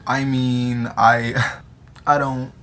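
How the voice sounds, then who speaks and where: Teenage male vlogger, American accent, joking and slangy casual delivery, crisp forward tone with some vocal fry. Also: medium pitch, level tone, very slow, fairly bright tone. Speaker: vlogger answering audience questions. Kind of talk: casual chat to camera.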